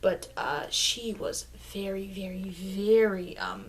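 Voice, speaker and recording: Friendly vampire lady acted by a woman for ASMR roleplay: soft, hesitant, rambling, mid-pitched, faintly noisy home mic. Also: steady tone, takes it slowly, gravelly. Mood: chatty, explanatory. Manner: hesitant, rambling, soft-spoken with frequent murmured asides. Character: friendly, rambling female vampire explaining vampire illnesses. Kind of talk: ASMR roleplay, soft-spoken improvised explaining. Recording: noisy low-grade mic with clicks.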